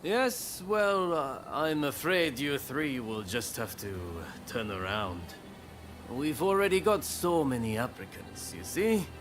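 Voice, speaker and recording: A genial, harried samurai overseer for a video game. His lightly high voice moves slowly and swings widely in pitch, with polite hesitations. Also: very animated, music in the background. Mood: flustered. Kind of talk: scripted game cutscene acting.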